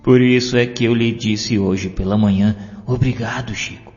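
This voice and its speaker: A grateful Brazilian police guard played by a male narrator speaks earnestly and emphatically in a low voice. It is audiobook dialogue with a muffled sound and music underneath. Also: steady tone, normal speed, darkish tone, natural voice. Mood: earnest, relieved. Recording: muffled, long reverb, music behind.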